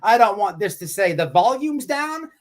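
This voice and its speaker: A man delivers casual livestream commentary with very lively, swinging pitch. He mocks his subject, repeats himself for emphasis, rambles and swears freely. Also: normal speed. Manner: profane, repetitive, rambling.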